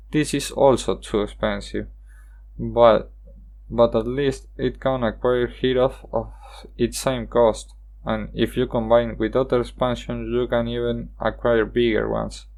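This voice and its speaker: A young man from Argentina, a Spanish speaker, hosting a card game review voice-over in careful, halting English. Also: medium pitch, darkish tone. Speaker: channel host.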